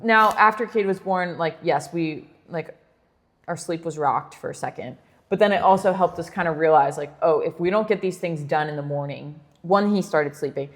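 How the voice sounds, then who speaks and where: A woman's voice: low-pitched, normal speed, big-room sound. A woman in her thirties co-hosting a podcast in casual chat, chatty with lots of fillers and frequent laughter. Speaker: podcast co-host.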